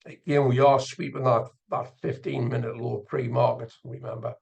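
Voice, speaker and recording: A man giving casual live commentary as an instructor, gravelly and husky, sometimes muttering to himself as he explains. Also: medium pitch, flat delivery, moderate pace, a little mellow. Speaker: trading instructor and video host. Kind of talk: casual live screen-share commentary on chart trading.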